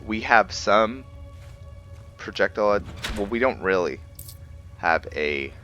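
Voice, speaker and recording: A man giving casual commentary on his game playthrough, thinking aloud in a halting way. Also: on the low side, lively intonation, a bit bright, dull audio, soft background music. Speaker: gaming video host.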